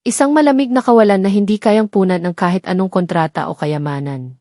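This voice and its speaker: A woman's voice: mid-range voice, a little fast, warm tone, no echo. Filipino woman narrating dramatic stories, dry sound. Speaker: story narrator. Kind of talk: dramatic scripted storytelling narration.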